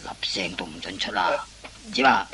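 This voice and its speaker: A man's voice: deep voice, moderate pace, bright, forceful tone. An actor playing a bossy, smug policeman in a Cantonese radio farce, commanding and mocking. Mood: bossy, hushed. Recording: noisy old radio drama recording.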